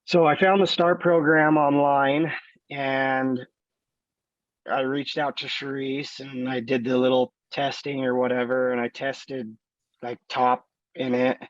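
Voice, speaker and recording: A man in his thirties with a raspy voice talks through his story at a very slow, halting pace, full of pauses and fillers. The sound is dry and dull, close to the mic with no room around it. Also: mid-range voice, little pitch movement, no echo. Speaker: student. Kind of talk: interview, telling his life story.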